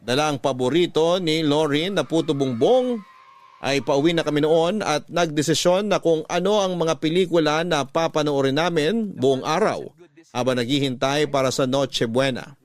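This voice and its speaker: A man's voice: on the high side, moderate pace. A man reading a scripted first-person story in a sentimental, radio drama storytelling style. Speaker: letter narrator in a radio-style love story podcast.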